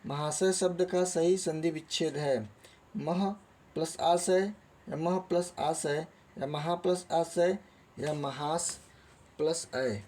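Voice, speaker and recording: A man's voice: on the high side, slow, a little mellow. A man teaching a lesson, reading out quiz questions and options in a didactic way and then giving the answers. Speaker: Hindi grammar teacher.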